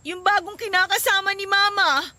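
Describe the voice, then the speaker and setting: A woman's voice: very high voice, fairly even intonation, moderate pace, pushed and bright, no room sound, exaggerated voice. An actress with a Filipino accent playing a distressed young girlfriend in a radio drama, pleading and plaintive. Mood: fearful, aggrieved. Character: distressed young girlfriend who ran away from home.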